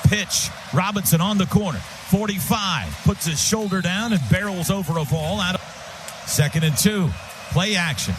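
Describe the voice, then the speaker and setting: High-pitched male football play-by-play announcer. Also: normal speed, music in the background. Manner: excitable, urgent, punchy calls that swell to shouts. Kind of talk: live football radio commentary in short clipped phrases.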